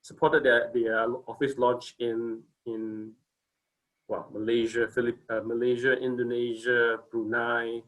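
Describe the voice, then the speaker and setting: A man's voice: mid-range voice, flat delivery, unhurried, fairly bright tone, low-quality recording. A man in his forties from Singapore, giving a casual company presentation on a video call, hesitant with many fillers and restarts.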